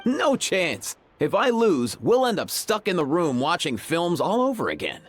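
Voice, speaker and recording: A lively, teasing young vet. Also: male voice, sits high, a little slow, no echo, heavily acted. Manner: easygoing, teasing, laughs a lot. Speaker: dragon vet. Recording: game cutscene with music behind.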